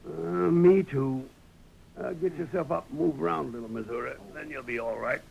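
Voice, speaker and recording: Very gravelly old Western prospector. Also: male voice, a little high, brisk, phone-quality sound, a little hiss or hum. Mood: easygoing. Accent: rural American West. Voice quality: gravelly, raspy. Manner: blunt frontier slang. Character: gruff, wary old male prospector. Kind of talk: 1930s radio western acting.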